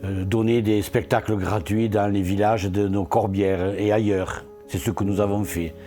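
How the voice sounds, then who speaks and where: A man with a somewhat low-pitched voice speaks as an elected official presenting local achievements. His manner is earnest and rhetorical, as in a promotional interview. Soft music plays faintly behind him. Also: steady tone, moderate pace.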